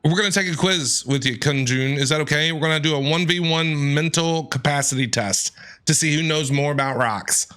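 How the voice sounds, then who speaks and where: A man's voice: on the high side, fairly quick, sharp tone, soft background music. A man doing casual, playful game commentary as a streamer, teasing the characters as he reacts. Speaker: gaming streamer reacting to a story quest.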